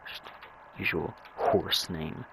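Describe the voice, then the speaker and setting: A young man chatting casually and rambling over his gameplay, halting and stammering as he repeats words. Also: very noisy. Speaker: gamer narrating own gameplay.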